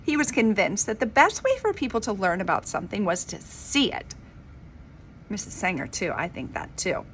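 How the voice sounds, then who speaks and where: A woman reading a children's storybook aloud like a teacher, with an expressive, emphatic delivery. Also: medium pitch, normal speed. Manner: dramatic, emphatic delivery with informal asides. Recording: clearly noisy homemade recording.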